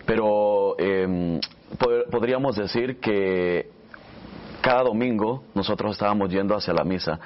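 Low-voiced Salvadoran man, slightly dull audio. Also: measured pace, slight background noise. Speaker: radio announcer and interview guest. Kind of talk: conversational personal storytelling.

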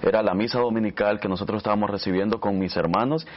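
Low-voiced Salvadoran man, slightly dull audio. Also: fairly even intonation, quick pace. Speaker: radio announcer and interview guest. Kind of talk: conversational personal storytelling.

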